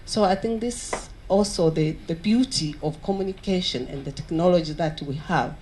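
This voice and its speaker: A woman from Tanzania speaks into a handheld mic during a conference discussion. She talks earnestly and pauses on fillers. Her voice sits low, with faint room noise behind it. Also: dark tone. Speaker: audience member. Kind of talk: audience question at a conference. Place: large conference hall.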